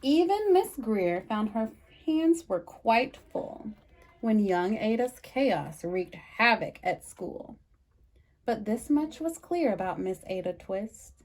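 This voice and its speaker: A woman reading a rhyming picture book aloud for storytime, with a lively sing-song delivery and slow, emphatic pauses. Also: mid-range voice, poor audio. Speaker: storytime reader.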